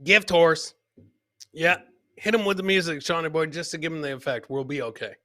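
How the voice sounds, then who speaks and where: A man with a forceful, bright voice pitched a little high hosts a casual comedy show. He riffs on video clips, circling back to the same catchphrases and chuckling at his own jokes. The sound is dry and close. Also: fairly quick, no echo. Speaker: podcast host.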